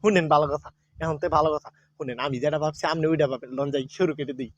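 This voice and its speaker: A man's voice: fairly high pitch, very fast, no echo, lightly acted. A man acting a pushy, lecherous village man in a comedy sketch, nagging and repetitive, with a rural Bangladeshi Bengali accent. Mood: sly, coaxing. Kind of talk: improvised comic dialogue.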